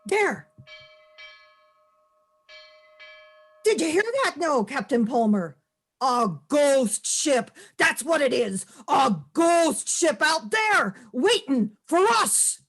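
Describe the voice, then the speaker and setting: An ominous sailor of a radio play, full of superstition and sea lore. The voice is high and the pace drawn out, with dramatic weight on the words. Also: female voice, big pitch swings, a bit bright, full character voice. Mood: fearful, ominous. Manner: slow, dramatic, ominous storytelling. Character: superstitious Irish sailor, doom-laden teller of sea lore.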